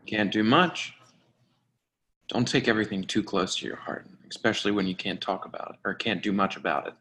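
A man's voice: on the low side, a little fast, a bit bright, slight background noise, close to an everyday voice. A man playing a bossy, dismissive office worker in a play reading, speaking in emphatic declarations. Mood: resigned.

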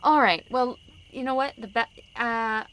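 A woman's voice: on the high side, measured pace, a bit bright, low-quality recording. A woman playing a busy, tired school board mother in an improvised radio play, hedging and politely evasive. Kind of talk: improvised radio drama acting.

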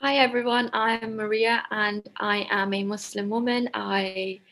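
A young woman from Pakistan, a law student, speaking in a measured, earnest way. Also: medium pitch, fairly even intonation, fairly bright tone. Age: young adult. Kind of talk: casual discussion.

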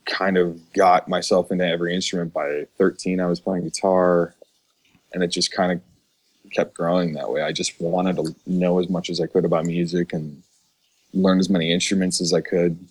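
A man's voice: low-pitched, little pitch movement, moderate pace, a little mellow. An American man from Chicago talking as a podcast guest in an informal, earnest and agreeable way. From Chicago, United States. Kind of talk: casual interview chat.

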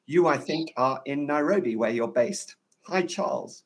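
A man's voice: mid-range voice, animated, normal speed. A man hosting a podcast interview, introducing his guest in an enthusiastic, effusive way, speaking from a hotel room. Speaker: podcast host.

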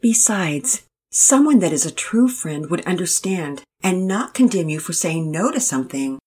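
A gentle, earnest mother giving calm counsel, read by a woman. The voice is low-pitched and a little husky, and it speaks steadily. It has a patient, guiding manner. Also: normal speed. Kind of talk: storybook reading.

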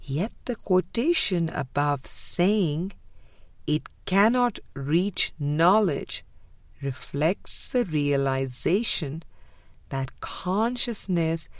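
A woman narrating a spiritual audiobook in a measured, deliberate way with frequent pauses. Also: sits low, darkish tone, dry recording, clean studio sound. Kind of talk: scripted spiritual book reading.

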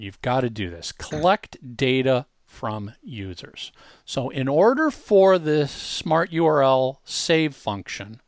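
Male tutorial host, mid-pitched and dry-sounding, informal, hammers key points directly at the listener. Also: no room sound. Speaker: co-host demonstrating an online tool. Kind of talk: casual software walkthrough.

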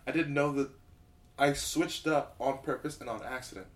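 Quiet-voiced young man, blunt and joking. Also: mid-range voice, normal speed. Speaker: podcast guest. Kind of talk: casual chat.

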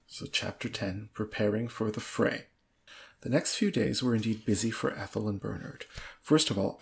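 A woman's voice: rumbling low, vocal fry, husky. A woman co-hosting a podcast, reading an old novel aloud in an amused, dramatic storytelling way.

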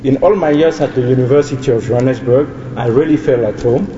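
A young man, giving an earnest, measured welcome speech as a student and pausing to restart some phrases. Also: medium pitch, crowd noise. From Ivory Coast. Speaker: student speaker. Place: large hall with audience. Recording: distant roomy hall, dull sound.

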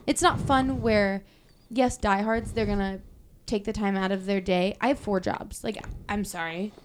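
A young woman in casual podcast chat, animated and opinionated, rambling with frequent restarts. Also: mid-range voice, measured pace, a little hiss or hum.

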